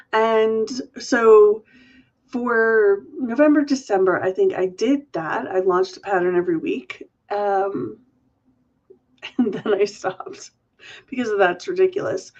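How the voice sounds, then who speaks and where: A woman's voice: medium pitch, darkish tone, lo-fi sound. A woman hosting a live question-and-answer stream, nasal and congested from a cold, chatting through viewer questions. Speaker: host.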